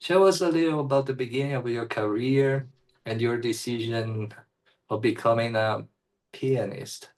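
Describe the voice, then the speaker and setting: A man's voice: medium pitch, fairly even intonation, darkish tone, rough recording. Man hosting an interview, friendly. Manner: friendly, brief reactions, halting phrasing. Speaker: interviewer, host. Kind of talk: interview questions.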